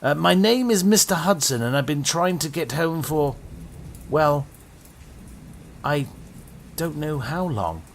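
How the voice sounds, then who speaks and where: A man voicing a polite, lost gentleman in a bowler hat, who speaks courteously and hesitantly. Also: fairly high pitch, lively intonation, slow, creaky, long pauses, slight background noise, voice pushed up, exaggerated voice. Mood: politely lost.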